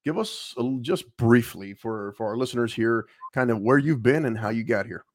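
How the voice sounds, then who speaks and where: A man's voice: medium pitch, normal speed, no room sound, clean studio sound. A man hosting a podcast, talking energetically and rambling with fillers as he reads sponsor lines and introduces a guest. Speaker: podcast host. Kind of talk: casual interview chat.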